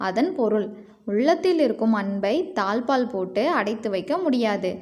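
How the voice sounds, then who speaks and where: A woman's voice: medium pitch, lively intonation, normal speed, darkish tone. A woman storyteller giving scripted narration for children in simple, explanatory phrasing.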